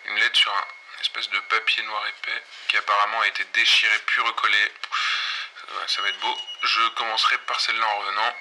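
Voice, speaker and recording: Casting: male actor as irreverent night-time pirate radio host for audio drama; lowish, very sharp forward voice, weary offhand delivery. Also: flat delivery, slight background noise, low-quality recording. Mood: sardonic, rambling. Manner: offhand, sardonic, slangy, halting. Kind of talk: casual improvised late-night radio monologue in audio fiction.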